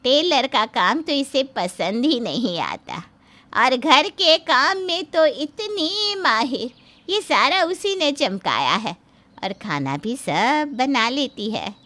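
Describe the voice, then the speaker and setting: A woman's voice: high-pitched, big pitch swings, takes it slowly, bright, forceful tone, full character voice. A woman playing a pushy, boastful middle-aged mother of a bride in a dramatized moral story, speaking insistently.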